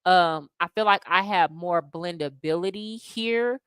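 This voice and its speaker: A woman's voice: on the low side, unhurried, smooth, no echo, studio quality. Chatty slangy American woman streaming. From United States. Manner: chatty and slangy, animated asides. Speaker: livestream host answering chat. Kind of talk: casual livestream chat. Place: home abroad.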